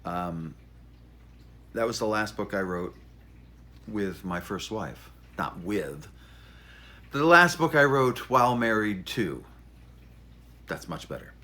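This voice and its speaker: A man's voice: lowish voice, animated, slow, long pauses, bright, forceful tone. A middle-aged man, an author, telling his story informally in a wry, self-deprecating, stop-and-start way. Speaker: author introducing his memoir. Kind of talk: informal spoken monologue, storytelling.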